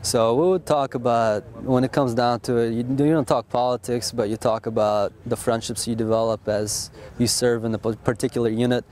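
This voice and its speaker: Flat-voiced young army officer. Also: male voice, medium pitch, normal speed, few pauses. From born in Russia, raised in Texas. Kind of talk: casual interview answers.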